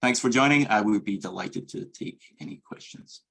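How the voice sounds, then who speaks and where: A man with a Scottish accent giving an academic medical lecture as a professor, in a measured, earnest and hesitant way. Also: on the low side, gravelly, fairly bright tone. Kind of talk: academic grand rounds presentation.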